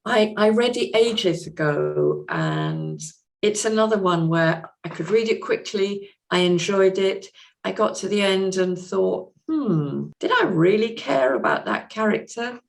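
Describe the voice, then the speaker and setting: A woman speaking as a podcast guest, discussing literature casually in a measured, considered way. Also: medium pitch, very animated, vocal fry.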